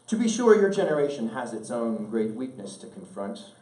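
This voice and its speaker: A man reads a literary letter aloud in a measured, earnest, oratorical way, pausing deliberately, recorded at a distance in an echoing room. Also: medium pitch, sing-song, dark tone. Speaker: speaker reading a letter aloud at an event. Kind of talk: solemn literary letter read aloud to an audience. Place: indoor event room.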